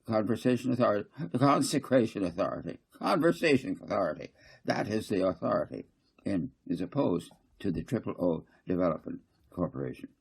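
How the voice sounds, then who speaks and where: A man reading a story, voicing a flustered, argumentative town councillor who blusters haltingly and repeats himself. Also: lowish voice, big pitch swings, vocal fry, slightly rough, full character voice. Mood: agitated, blustering.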